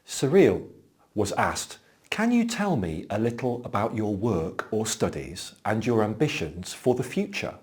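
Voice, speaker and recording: A man giving clear, explanatory scripted narration as an exam commentator. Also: on the low side, big pitch swings, unhurried, darkish tone.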